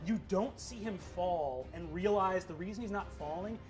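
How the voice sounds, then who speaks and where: A man narrating a tabletop game as game master, in an unhurried, amused way with fillers. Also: sits high, music in the background.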